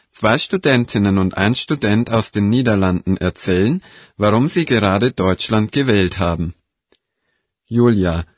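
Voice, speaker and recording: A man announcing a language listening exercise slowly and deliberately. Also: on the low side, no room sound, clean studio sound. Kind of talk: slow scripted announcing.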